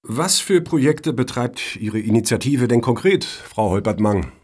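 An actor in a satirical radio play as a brusque, suspicious official who grills applicants pointedly. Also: male voice, medium pitch, expressive, measured pace, a little mellow, breathy edge.

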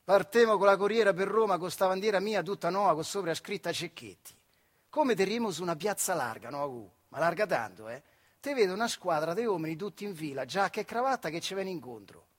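High-voiced Marche factory worker storyteller. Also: male voice, animated, fairly quick, husky. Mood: animated storytelling. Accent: central Italian, Marche. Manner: colloquial, acting out dialogue. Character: working-class factory man telling nostalgic, wry stories of his comrade. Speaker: stage actor, solo storyteller. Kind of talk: theatrical monologue storytelling in dialect.

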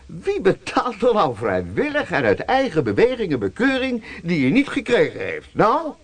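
A cocky, smooth-talking newspaper reporter in a radio comedy. His voice sits high and swings lively as he teases and presses with insistent questions. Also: moderate pace, heavily acted. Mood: cocky, teasing. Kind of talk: Dutch radio comedy acting.

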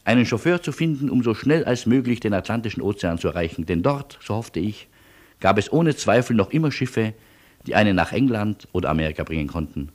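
An actor playing a wry, anxious middle-aged refugee in a radio play, reasoning with deliberate irony and old-world courtesy. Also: male voice, on the low side, expressive, darkish tone. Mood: ironic storytelling. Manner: deliberate, pointed, ironic reasoning. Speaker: lead character and narrator. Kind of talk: theatrical radio play acting and narration.

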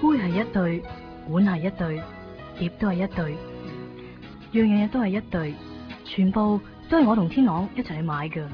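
An actress playing an anxious, sentimental young shopkeeper with a Hong Kong Cantonese accent in a radio drama. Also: female voice, medium pitch, normal speed, pauses often, lightly acted. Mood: wistful. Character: anxious, sentimental young woman shopkeeper named Chui-bik. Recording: dull 1990s radio, loud music behind. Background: music.

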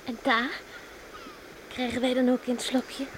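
A woman playing a headstrong, warm-hearted young farm girl in a radio drama, plaintive and insistent. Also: sits high, monotone, unhurried. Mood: contented, confiding. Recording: noisy old radio play recording.

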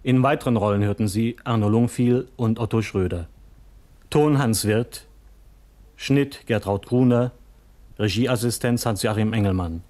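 A man reading cast and credits as a formal, deliberate 1970s radio announcer. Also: on the low side, mellow.

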